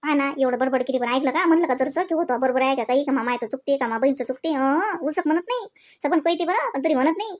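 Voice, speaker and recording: A woman acts out a young village wife from a comedy cartoon, with a very high voice and a Varhadi Marathi accent. She rattles on quickly, aggrieved and exasperated, piling one complaint on another. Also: lightly acted. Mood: muttering, resentful.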